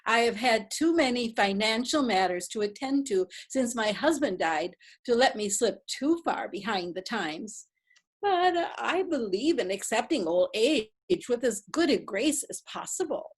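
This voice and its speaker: A mild, old-fashioned widow, read by an actress who keeps forgetting names. She speaks slowly and hesitantly in a slightly high voice. It is a readers theatre reading. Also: expressive, breathy edge. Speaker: actor.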